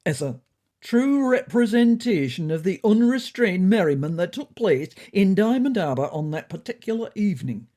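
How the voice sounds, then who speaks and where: A woman's voice: medium pitch, big pitch swings, normal speed, mellow, voice pushed up, lightly acted. A woman voicing a pompous, self-righteous, grumpy male cat in a comedy audio story. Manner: pompous, indignant.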